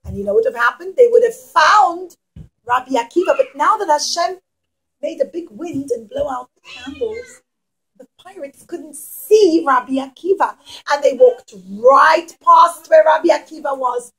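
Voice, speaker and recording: A woman storyteller teaching young children, speaking dramatically with emphatic pauses and questions to her listeners. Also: high-pitched, expressive, fairly bright tone, low-quality recording.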